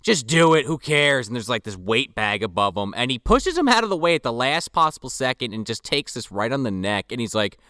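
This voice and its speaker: A man's voice: mid-range voice, big pitch swings, fairly quick, few pauses, sharp tone, no echo, studio quality. A man co-hosting a casual movie podcast, picking apart plot holes with sarcasm and profanity. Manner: sarcastic, profane, rhetorical questions. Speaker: podcast co-host. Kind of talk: casual comedic movie review chat.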